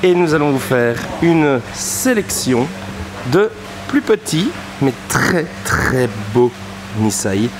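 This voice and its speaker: Male travel-show host, higher register, jokey and exclamatory, noisy location sound. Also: very animated, slow. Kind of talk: casual vlog presenting. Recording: loud background noise, vehicles.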